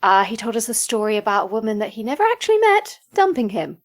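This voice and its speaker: A female podcast co-host with a fairly high voice and very lively intonation. She presents playfully and conversationally, teasing and cracking jokes as she goes. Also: fast. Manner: joking, teasing.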